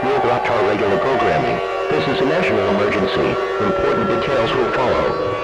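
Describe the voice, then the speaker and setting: A man's voice: lowish voice, expressive, fast talker, very noisy. A man reading a formal, impersonal scripted emergency broadcast announcement in an official manner.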